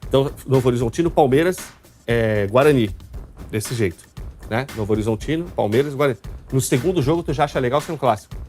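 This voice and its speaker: A quick-paced male host with a São Paulo state Brazilian accent, at a mid-range pitch, talking football live over background music. His delivery is chatty and colloquial, with some teasing. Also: lively intonation, a little mellow. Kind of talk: casual live football talk show commentary. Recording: music under the voice.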